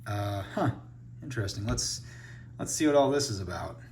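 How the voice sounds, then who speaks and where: A man with a fairly low voice talks through a toy review in a relaxed, off-the-cuff way, thinking aloud as he goes. A faint hiss sits under the recording. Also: normal speed. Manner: relaxed, thinking aloud while handling toys. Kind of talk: casual unboxing review.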